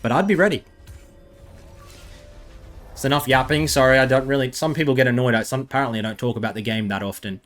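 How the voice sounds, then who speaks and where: A man's voice: medium pitch, quick pace, pauses often, a bit bright, soft background music. An Australian man giving rambling, self-mocking commentary over gameplay, full of chatty asides. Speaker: gaming video creator.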